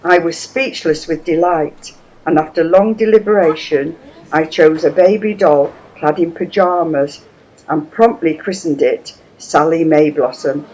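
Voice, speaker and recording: A woman's voice: deep voice, measured pace, a little mellow, muffled recording. A woman playing a memoirist fondly recalling her wartime childhood in a first-person reading. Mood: wistful recollection.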